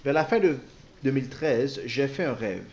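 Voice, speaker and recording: A man interpreting a personal testimony, speaking haltingly with repeated words and self-corrections. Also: medium pitch, animated, a little mellow, lo-fi sound, slight background noise. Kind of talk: spoken translation of a personal testimony.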